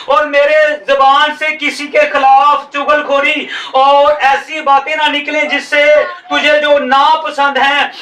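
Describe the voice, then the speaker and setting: A man's voice: very high voice, pushed and bright. A male pastor with a Pakistani Urdu accent delivering a sermon, stretching and stressing his phrases as he preaches. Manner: preaching, drawn-out emphatic phrases. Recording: echoey room, voice from some distance.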